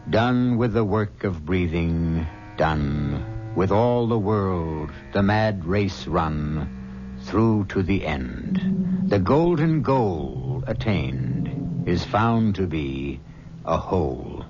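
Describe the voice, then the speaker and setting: Deep, slow-spoken male narrator. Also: lively intonation. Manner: measured, grave storytelling. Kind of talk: scripted radio narration. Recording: dull 1970s radio broadcast with music.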